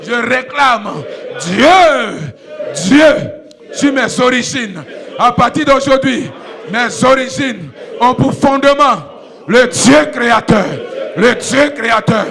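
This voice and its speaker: A pastor from Ivory Coast leading a church in shouted, repetitive prayer declarations. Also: male voice, very high voice, lively intonation, slow, gravelly, a faint crowd. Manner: repetitive, commanding, chant-like. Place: church sanctuary.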